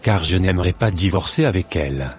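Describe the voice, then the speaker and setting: A man's voice: low voice, expressive, brisk, faint music behind. A man voicing a wounded, stern husband in an amateur cartoon dub, with a grave, earnest delivery. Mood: hurt, brooding. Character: betrayed, wounded but loving husband, stern and brooding.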